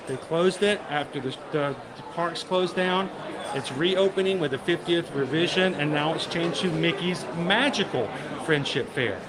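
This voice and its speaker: A man with a slightly raised voice hosts a relaxed livestream, joking with his viewers and often tripping over and repeating words. He is outdoors in a busy theme park on a handheld mic. Crowds chatter clearly all around him. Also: on the high side. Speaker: livestream host. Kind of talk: casual live-stream chat with viewers.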